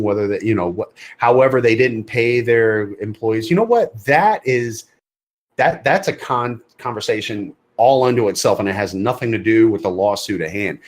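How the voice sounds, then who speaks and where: Man, podcast guest, emphatic, blunt, repeats himself. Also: fairly low pitch. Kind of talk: casual podcast chat.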